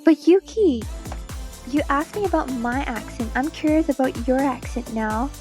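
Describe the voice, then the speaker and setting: A woman's voice: high voice, normal speed, a little mellow, soft background music. A woman with a mostly American accent, chatting casually and playfully on a livestream as she draws. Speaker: streamer, digital artist. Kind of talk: casual livestream chat while drawing.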